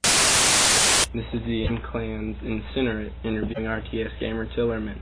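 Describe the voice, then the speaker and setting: A man's voice: lowish voice, monotone, unhurried, bright, forceful tone, rough recording. A man reading a scripted introduction as an announcer on an old internet audio clip with static.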